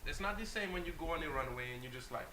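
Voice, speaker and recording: A man, a model guest on a talk show, telling animated anecdotes and acting out what people said. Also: fairly high pitch, quick pace, reverberant, slight background noise. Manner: animated anecdotes, acts out quotes, restarts. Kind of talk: casual storytelling.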